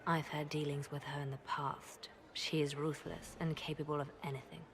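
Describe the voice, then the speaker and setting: An actress playing a shrewd, discreet female spymaster in a fantasy game, measured and conspiratorial. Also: low-pitched, level tone, a little hiss or hum, close to an everyday voice. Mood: discreet, serious.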